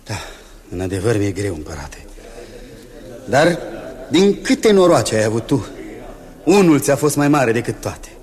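A man's voice: medium pitch, sing-song, long pauses, a little mellow, natural voice. A man playing a brave young hero prince in a 1960s radio drama, earnest and tender with his bride.

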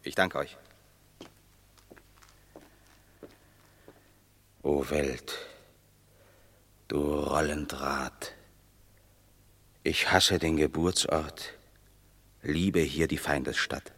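An actor playing a proud, banished Roman war hero who declaims verse in a commanding, bitter manner in a radio play. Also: male voice, extremely low pitch, a bit bright, exaggerated voice. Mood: bitter, brooding. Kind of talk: German radio drama, stage verse.

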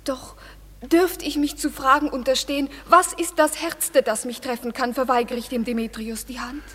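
An actress playing a steadfast, sorrowful young maiden in love, speaking earnest, plaintive verse in a classical radio drama. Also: female voice, high voice, fairly even intonation, normal speed, fairly bright tone, long reverb tail. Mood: pleading, resolute.

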